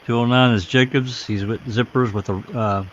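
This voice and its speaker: A man's voice: mid-range voice, fairly even intonation, normal speed, muffled recording, slight background noise. A man hosting a livestream, giving casual commentary between replayed recordings. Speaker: livestream host.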